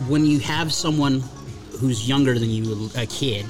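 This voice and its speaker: A man's voice: medium pitch, measured pace, loud music behind the voice. A man speaking on a talk radio show, earnest and explanatory, with frequent hesitant fillers. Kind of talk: casual talk radio conversation.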